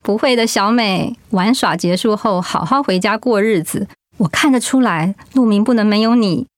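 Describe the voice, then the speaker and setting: A woman acting a hurt but resolute mother in a radio drama, speaking gently, reflectively and with feeling. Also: medium pitch, moderate pace, no room sound, studio quality, natural voice. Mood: warm, reassuring. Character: hurt, resolute mother leaving her marriage. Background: background music.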